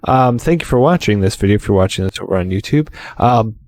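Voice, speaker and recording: A man's voice: lowish voice, lively intonation, fast, darkish tone, no room sound. A man hosting a live-streamed game let's play, talking casually with lots of restarts and fillers.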